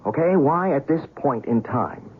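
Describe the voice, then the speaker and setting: A man's voice: medium pitch, lively intonation, unhurried, breathy edge, phone-quality sound. An actor playing a shaken, skeptical and stubborn male reporter in an old-time radio drama, talking in a colloquial, pleading way. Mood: troubled, doubting. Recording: noisy, thin old radio recording.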